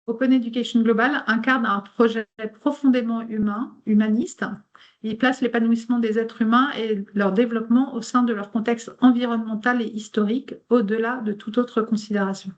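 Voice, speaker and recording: A woman whose first language is German, speaking French in an online meeting. She gives an informal presentation, partly reads a text aloud, and pauses often with fillers and restarts. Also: fairly high pitch, fairly even intonation, highs cut off. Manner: hesitant, many fillers and restarts.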